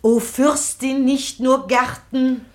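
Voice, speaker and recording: A woman's voice: high-pitched, fairly even intonation, takes it slowly, a little mellow. An actress playing a patient, tender woman who soothes and prompts an actor in a German radio drama, speaking without hurry. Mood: prompting.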